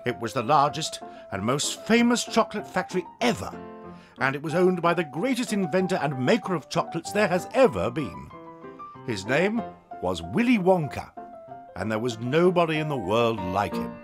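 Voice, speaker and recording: A man narrating a dramatised children's story in an expressive storytelling way. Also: fairly high pitch, normal speed, over music.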